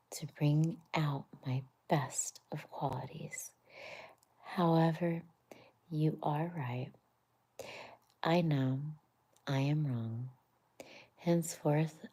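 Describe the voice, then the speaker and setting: A woman voicing a boastful, vain male toad in a soft whisper. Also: low voice, husky, full character voice.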